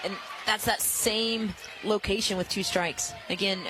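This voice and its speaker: A woman giving live softball analysis as a radio color commentator, explaining plays in an analytical, coach-like way. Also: medium pitch, fairly even intonation, a little slow, noisy background.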